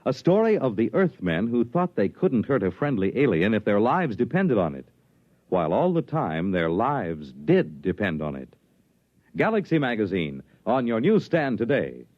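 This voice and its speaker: A man's voice: lowish voice, big pitch swings, moderate pace, no echo. A man announcing a 1950s radio drama in a formal, dramatic style. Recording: dull old radio broadcast with music.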